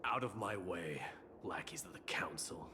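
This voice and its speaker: An actor playing a proud, stern young warrior prince in a video game, speaking in formal, imperious declamation. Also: male voice, low-pitched, animated, normal speed, in a large hall, background noise. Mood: cold, threatening. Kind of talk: scripted video game acting.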